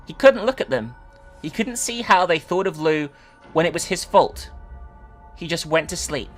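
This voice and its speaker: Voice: mid-range voice, a bit bright. A person giving a theatrical dramatic reading of an online horror story, with sweary, mocking asides. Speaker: online video host.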